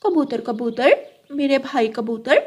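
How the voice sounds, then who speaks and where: A woman's voice: high voice, a little slow. A woman voicing a spiteful, lying mother crow in a cartoon, bossy and full of sly insinuation. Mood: scheming, insinuating.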